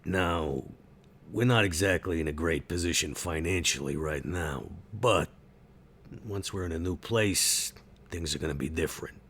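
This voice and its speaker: A man playing a folksy, guarded supplier and mentor, talking in measured, hesitant phrases. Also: low voice, a bit bright, a little hiss or hum. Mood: guarded, persuasive.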